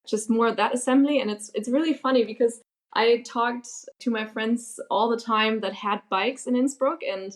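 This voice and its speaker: A young woman from Germany, a podcast guest answering in a relaxed way, laughing easily and using many fillers. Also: a little high, normal speed. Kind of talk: casual interview answers.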